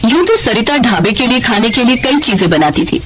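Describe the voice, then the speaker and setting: A woman narrating a scripted children's story in an expressive storyteller's manner. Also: a little high, fairly quick.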